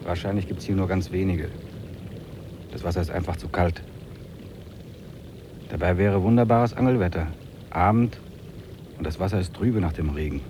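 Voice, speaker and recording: An actor playing a stubborn, determined trekker and angler, brooding and deliberate, in a 1950s radio play. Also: male voice, deep voice, natural voice. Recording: noisy track with running water.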